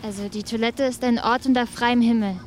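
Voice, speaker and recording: An actress playing a composed, curious radio reporter, narrating and interviewing in a documentary-style drama. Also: female voice, on the high side, fairly even intonation, brisk, noisy background, lightly acted.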